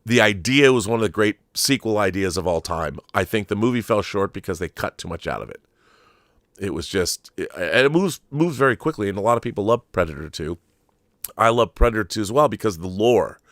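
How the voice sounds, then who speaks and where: A man's voice: lowish voice, animated, fairly quick, a bit bright, dry recording, clean studio sound. A middle-aged man hosting an unscripted film commentary show, talking in an emphatic, digressive, opinionated fan style.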